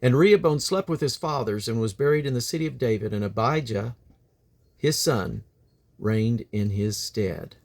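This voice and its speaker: A man with a medium-pitched voice reads scripture aloud. He is solemn and deliberate, leaning into key phrases and stretching out the ends of them. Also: lively intonation. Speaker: Bible reader. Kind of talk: scripture reading aloud from the King James Bible.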